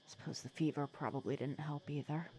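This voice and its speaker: A supervillain nemesis with a gentle, protective streak, played by a woman for an ASMR roleplay. Her voice sits a little low and is very mellow and relaxed. She speaks softly and conversationally, with wry teasing and small hesitations. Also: a little fast. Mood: soothing, concerned. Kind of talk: ASMR roleplay audio drama.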